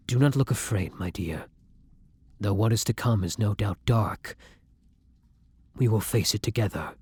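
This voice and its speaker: A man playing a kind, tormented vampire in an intimate roleplay audio drama, murmuring softly and breathily in formal, old-fashioned phrasing. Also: fairly low pitch, slightly rough, exaggerated voice. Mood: soothing, solemn. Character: kind, tormented male vampire, tender and protective lover.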